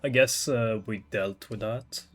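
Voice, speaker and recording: Slow-talking man commentating on gameplay. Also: lowish voice, steady tone. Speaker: game streamer. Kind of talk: casual playthrough commentary.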